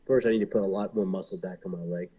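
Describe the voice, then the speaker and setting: A man's voice: sits low, fairly even intonation, rapid-fire, warm tone, breathy edge. A man in his thirties from Hong Kong, a pro wrestler, talking casually in an interview and rambling with many fillers and restarts.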